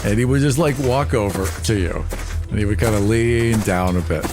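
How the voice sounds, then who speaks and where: A man's voice: on the low side, moderate pace, music in the background. A man playing a tabletop game, casually describing what his character does.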